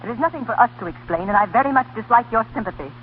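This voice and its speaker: Actress as proud schoolteacher on thin vintage radio. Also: female voice, on the high side, animated, racing, narrow, tinny audio, close to an everyday voice. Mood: cold, defiant. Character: proud, indignant young female schoolteacher. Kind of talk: 1930s radio drama acting. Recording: thin, faintly noisy 1930s radio broadcast.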